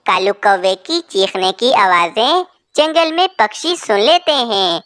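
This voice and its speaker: A woman's voice: medium pitch, animated, measured pace, a bit bright, dry recording. A woman narrating a scripted children's story.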